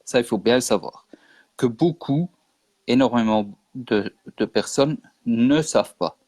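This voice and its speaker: A man from Belgium speaks as a guest in a casual online interview, earnest and insistent, repeating his points for emphasis. His voice sits at an ordinary pitch and sounds dry and close. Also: a little slow, a little mellow, no room sound. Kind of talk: casual interview explaining history.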